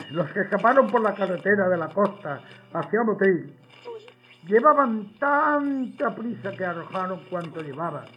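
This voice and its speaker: Gloating high-pitched fascist radio general. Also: male voice, animated, a little slow. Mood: smug sneering. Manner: boastful, sneering, mock-sincere. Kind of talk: 1930s wartime radio address acting. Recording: dull, band-limited old radio sound.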